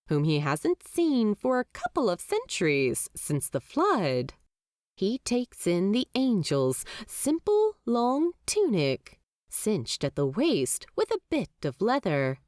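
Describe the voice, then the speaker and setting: A woman's voice: medium pitch, a little mellow, no room sound, studio quality. A woman narrating a story expressively from a script, as an audiobook-style narrator.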